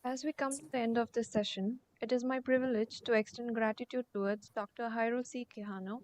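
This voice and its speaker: A woman's voice: a little high, steady tone, a little fast, slight background noise, poor audio. A woman student representative formally reading out a vote of thanks. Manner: formal, read out.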